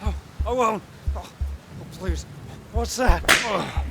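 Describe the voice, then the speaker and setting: A man's voice: high-pitched, takes it slowly, gravelly, loud music behind the voice, exaggerated voice. A man playing a frightened, bewildered older police commissioner in a radio drama, groaning and pleading. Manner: groaning, pleading, breathless exclamations.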